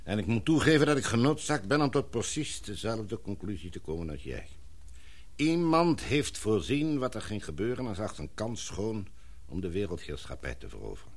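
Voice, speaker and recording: An actor playing a grave, fatherly older gentleman in a radio drama, formal and measured. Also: lowish voice, sing-song. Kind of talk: radio drama acting in Dutch.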